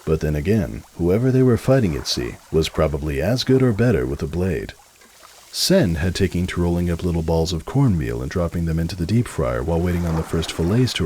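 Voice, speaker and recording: A man's voice: low-pitched, big pitch swings, a little fast, a little mellow, slight background noise. A man giving a dramatic storybook narration.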